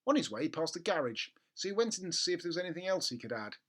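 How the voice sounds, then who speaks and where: Slightly high-voiced man narrating a storybook. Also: sing-song, very fast, a bit bright. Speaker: storybook reader. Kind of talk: expressive children's story narration. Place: living room at home. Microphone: close studio mic with pop filter.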